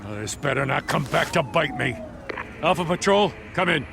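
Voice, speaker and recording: Weary game cop, raised pitch, gravelly edge, gruff, exasperated, lively delivery. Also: male voice, moderate pace. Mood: harried. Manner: gruff, harried. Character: weary, exasperated male police lieutenant. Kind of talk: cinematic game voice acting.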